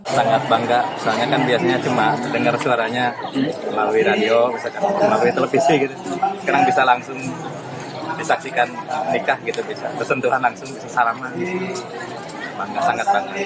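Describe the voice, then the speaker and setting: Male Indonesian interviewee, mid pitch, unscripted, very noisy crowded public space. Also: fairly quick, a loud crowd. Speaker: event participant interviewed. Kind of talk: spontaneous vox pop.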